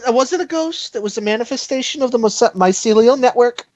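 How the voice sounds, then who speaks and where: Podcast co-host chatting casually, really animated voice, dry sound, kinda argumentative. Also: medium pitch, fairly quick, no room sound, dull audio. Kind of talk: casual fan discussion.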